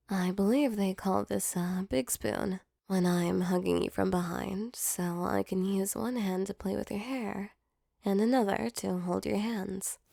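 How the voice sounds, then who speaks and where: Woman playing a creaky-voiced intimate female demon. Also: mid-range voice, steady tone, slow pace, dark tone, no room sound, clean studio sound. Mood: tender, soothing. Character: female sleep paralysis demon, mock-menacing turned tender and flustered. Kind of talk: intimate ASMR roleplay audio drama.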